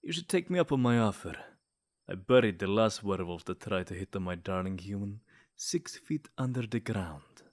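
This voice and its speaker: A man's voice: on the low side, animated, normal speed, natural voice. A man playing a smug, possessive, lovesick vampire in a comedic ASMR roleplay, theatrical and taunting one moment and sweet-talking the next.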